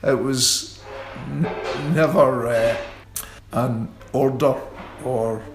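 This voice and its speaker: A middle-aged man guesting in a radio interview, talking in an unhurried, self-deprecating and wry way. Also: medium pitch, fairly even intonation, music in the background. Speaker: guitarist guest. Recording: roomy echo.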